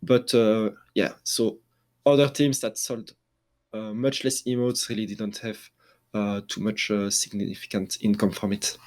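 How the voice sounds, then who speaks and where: A man with a French accent, talking through esports news in a casual podcast discussion, halting often with fillers and restarts. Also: lowish voice, steady tone, a little mellow. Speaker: esports journalist.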